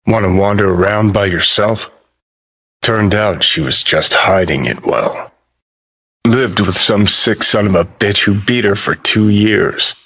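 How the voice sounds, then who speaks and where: An actor playing a cynical, foul-mouthed rocker rebel in a video game, with a sardonic, gruff, unhurried delivery. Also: male voice, lowish voice, fairly bright tone. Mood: businesslike, then grim, reflective. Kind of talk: video game character dialogue.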